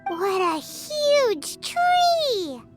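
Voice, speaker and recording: A tiny fairy sidekick, extremely high and squeaky, swooping wildly as she exclaims and chatters like an excitable child. It is video game dialogue over clear background music. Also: very slow, heavily acted. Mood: amazed, excited. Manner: refers to self in third person, exclaiming. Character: small excitable fairy-like companion, childlike girl. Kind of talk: video game character acting.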